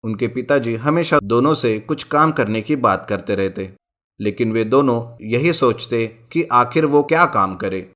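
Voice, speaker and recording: A man reading a scripted folk tale as a storyteller, in narration for an animated story. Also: mid-range voice, measured pace. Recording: music bed behind the voice. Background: background music.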